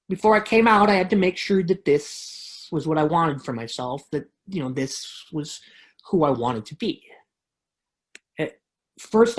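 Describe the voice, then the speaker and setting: A woman playing an earnest, reflective woman recounting her coming out in a dramatic monologue reading. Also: low voice. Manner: confessional, reflective.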